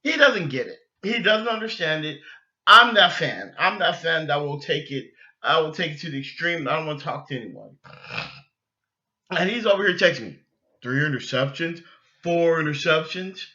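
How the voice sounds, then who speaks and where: A man hosting a sports fan podcast, ranting off the cuff in a halting, repetitive, exasperated way. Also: on the high side, lively intonation, bright, forceful tone. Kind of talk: unscripted sports fan rant. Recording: dull lo-fi sound, echoey room.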